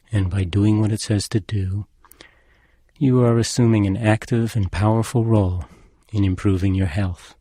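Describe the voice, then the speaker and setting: A man leading a guided meditation as an instructor, speaking in a calm, measured and gently instructing manner. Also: lowish voice, no room sound, studio quality.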